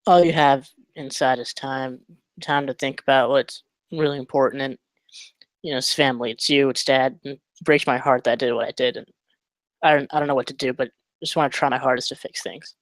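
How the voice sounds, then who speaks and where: A man acting a remorseful, awkward ex-prisoner in a film script read, with a halting, apologetic way of talking. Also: mid-range voice, steady tone, dry recording, natural voice. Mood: ashamed, pleading.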